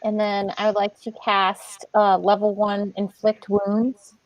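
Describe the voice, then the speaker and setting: A woman's voice: mid-range voice, monotone, unhurried, no echo, rough recording. A woman talking casually as a player in an online tabletop game, speaking close to the mic.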